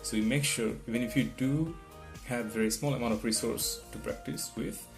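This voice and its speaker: A man's voice: mid-range voice, normal speed, gravelly. A man whose first language is not English gives rambling test-prep advice, restarting often and checking in with "right?". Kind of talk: casual advice talk to camera. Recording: guitar music in the background.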